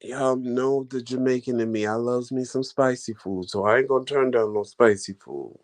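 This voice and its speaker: A man's voice: mid-range voice, steady tone, moderate pace. A man hosting a vlog live stream, chatting casually with viewers in a rambling, folksy way. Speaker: vlog host answering live chat.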